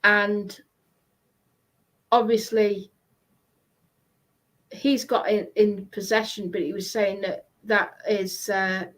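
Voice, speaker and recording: A woman chatting casually in a hesitant, halting way, often repeating herself. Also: medium pitch, fairly even intonation, poor audio. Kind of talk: casual chat.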